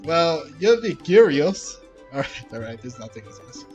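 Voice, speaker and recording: A man's voice: a little high, big pitch swings, normal speed, highs cut off, over music. A man doing casual, playful let's-play commentary, reacting aloud and reading game dialogue. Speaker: game streamer.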